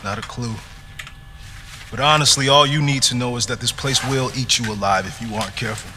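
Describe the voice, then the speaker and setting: An actor playing a wounded, weary but commanding middle-aged American police lieutenant in a video game, strained with pain. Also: male voice, medium pitch, brisk, sharp tone, a little hiss or hum, close to an everyday voice. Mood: weary, reassuring. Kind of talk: dramatic video game acting.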